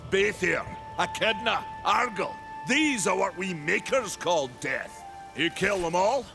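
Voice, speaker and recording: Slow, gravelly old warrior craftsman. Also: sits high, expressive, over music, exaggerated voice. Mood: gruff challenge. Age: older. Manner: slow, weighty, archaic phrasing. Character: proud old male warrior maker, stern, battle-worn. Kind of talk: video game cutscene acting.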